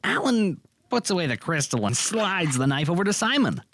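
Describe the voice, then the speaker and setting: A man's voice: sits high, very animated, normal speed, fairly bright tone, no room sound. A man narrating a scripted amateur audio drama, describing the action in the present tense.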